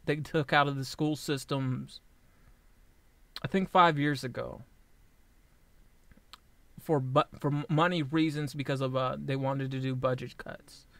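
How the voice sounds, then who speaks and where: A man's voice: medium pitch, fairly even intonation, vocal fry, no room sound. A man in his late twenties talking through a casual personal vlog, rambling and blunt, swearing freely and often restarting his sentences. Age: 20s. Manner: halting with frequent restarts. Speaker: vlogger.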